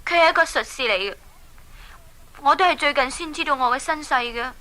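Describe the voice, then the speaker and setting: An actress with a Hong Kong Cantonese accent playing a plucky, caring young princess in a children's radio drama. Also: female voice, high-pitched, brisk. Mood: sincere. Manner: expressive, urging, exclamatory.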